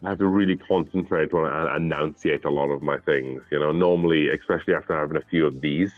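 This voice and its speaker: A Welsh man in his thirties talks in a relaxed, slightly mumbly way, his Welsh accent clear. His voice sits very low. The sound is dry and a little dull. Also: fast talker, no room sound, soft background music. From Wales. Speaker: guest whiskey taster. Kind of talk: casual chat.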